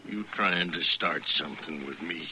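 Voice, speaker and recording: An actor playing a menacing, blustering cattleman in an old-time radio western, sneering in rough rustic speech. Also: sits low, moderate pace, pushed and bright, low-quality recording. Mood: belligerent. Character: blustering, menacing cattleman father, grown man. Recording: old radio recording, faint hiss.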